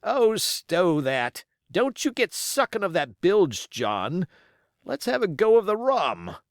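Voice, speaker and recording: A man voicing a rough, grumbling, bloodthirsty pirate coxswain in a storybook, with emphatic delivery and rough sailor grammar. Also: high-pitched, huge pitch swings, slow pace, no echo, clean studio sound, pitched above the performer's own voice, exaggerated voice. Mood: impatient.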